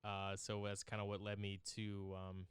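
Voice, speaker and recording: Co-host, young man from Alabama, low and very smooth voice, dry sound, relaxed and rambling. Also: normal speed, no room sound. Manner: easygoing, rambling with many fillers. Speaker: podcast co-host. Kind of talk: casual chat.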